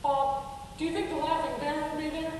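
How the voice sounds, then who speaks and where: High childlike puppet boy acted by a woman, naive, gushing, giddy, children's puppet show, long echoing hall. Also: little pitch movement, fairly quick, darkish tone, lightly acted. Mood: eager. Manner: gushing, giddy, wide-eyed rambling. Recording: echoey hall from far off, faint noise.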